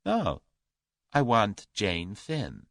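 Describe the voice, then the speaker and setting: Guy playing a cocky american rich guy, dry drawl, blunt and threatening, storybook hero, lively pitch. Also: drawn-out words, gravelly, no room sound, full character voice. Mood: tough, wry. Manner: drawling, abrupt, dry, free and easy. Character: brash, confident young American millionaire, threatening.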